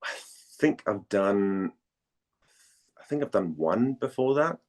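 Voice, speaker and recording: A man from Denmark chatting casually as an interview guest, haltingly searching for words and repeating phrases. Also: fairly low pitch, lively intonation, a little mellow. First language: Danish.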